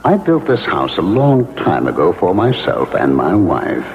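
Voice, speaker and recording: Mournful gentleman ghost, low and creaky, formal storytelling for vintage radio drama. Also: sing-song, exaggerated voice. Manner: formal, measured, hesitant storytelling. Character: ghost of a murdered, betrayed would-be novelist, gentle and mournful. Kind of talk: classic radio drama acting. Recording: lo-fi vintage radio, long echoing reverb.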